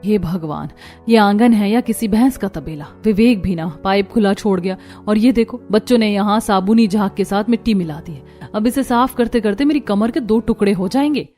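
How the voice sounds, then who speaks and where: A woman's voice: mid-range voice, moderate pace, warm tone, faint music behind, natural voice. A woman voicing an overworked young daughter-in-law in a cartoon story, exasperated and complaining. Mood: fed up. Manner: complaining, put-upon. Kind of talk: Hindi cartoon story voice acting.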